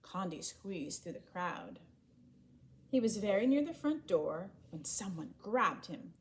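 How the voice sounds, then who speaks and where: A woman reading a children's story aloud in an expressive, animated way, acting out the dialogue. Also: mid-range voice, takes it slowly. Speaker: storybook reader.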